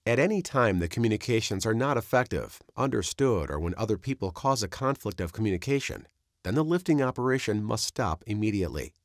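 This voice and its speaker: Low-pitched man narrating training material. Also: expressive, a little fast, dry recording, studio quality. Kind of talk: scripted instructional narration.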